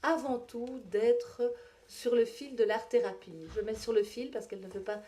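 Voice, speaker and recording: Woman, slightly high pitch, soft mellow tone, event moderator, conference introduction. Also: moderate pace.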